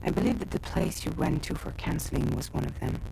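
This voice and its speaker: An actor playing a plain-spoken, informal teammate sharing findings in a video game cutscene, with a gravelly voice. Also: male voice, quick pace, warm tone, slight background noise, natural voice, rough recording. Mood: informative.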